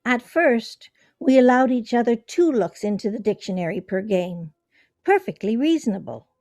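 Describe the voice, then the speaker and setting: An actress in a radio play playing a composed, reasonable woman with a dry, measured manner. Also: on the high side, animated, a little mellow, dry recording. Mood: defensive.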